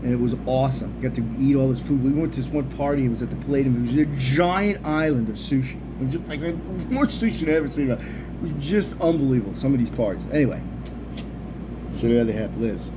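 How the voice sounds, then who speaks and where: A man's voice: mid-range voice, fast talker. A middle-aged man with an American accent telling a casual, rambling story in loose, slangy, sweary talk. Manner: animated slang-heavy talk with frequent swearing. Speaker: storyteller, video host. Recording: clearly noisy background hum.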